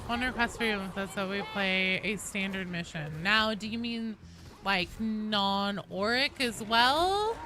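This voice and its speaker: A woman live streaming a game, chatting casually and excitably with her viewers and swearing freely, with game sound behind her. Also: mid-range voice, a little slow, noisy background. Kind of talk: casual live stream chat with gameplay reactions.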